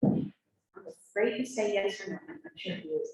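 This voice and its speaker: A woman's voice: mid-range voice, normal speed, a little mellow. A woman, a library board trustee, chatting casually before a meeting and trailing off mid-sentence. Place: meeting room with a video-call setup. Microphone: room microphone, from far off. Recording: echoey room audio picked up from far off.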